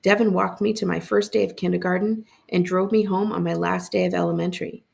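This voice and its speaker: A woman reading a first-person story aloud as a librarian would, with expressive narration. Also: fairly low pitch, fairly quick, vocal fry.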